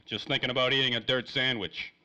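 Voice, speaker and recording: A man's voice: medium pitch, steady tone, fast talker, pushed and bright, poor audio. A man playing a hardboiled, wisecracking private detective in a 1930s-style radio drama, with clipped tough-guy talk. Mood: wry, tough.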